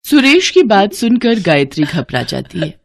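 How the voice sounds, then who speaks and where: A woman's voice: medium pitch, big pitch swings, moderate pace, vocal fry, no echo, clean studio sound. A woman narrating a scripted story as its storyteller.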